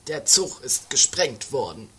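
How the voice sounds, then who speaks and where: A man playing an excitable, vengeful train conductor in an improvised comic radio play, emphatic, repetitive and dramatic. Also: mid-range voice, measured pace, over a noisy crowd. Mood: relieved, dramatic. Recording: music behind the dialogue.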